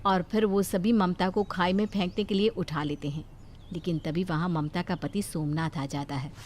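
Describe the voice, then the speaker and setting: A woman narrating a moral cartoon story in a steady, dramatic way. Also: on the low side, moderate pace, a little hiss or hum.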